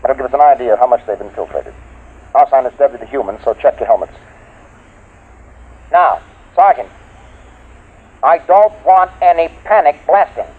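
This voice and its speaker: An actor playing a resolute military scientist in an old-time radio space drama, urgent, in a tinny recording. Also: male voice, mid-range voice, expressive, moderate pace, pauses often, husky. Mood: commanding.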